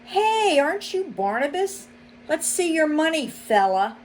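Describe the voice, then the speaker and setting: A woman's voice: high voice, very animated, unhurried, slight background noise, voice pushed up. A woman voicing a sly, greedy male fox shopkeeper who sneers and barks orders in a storybook reading. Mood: menacing.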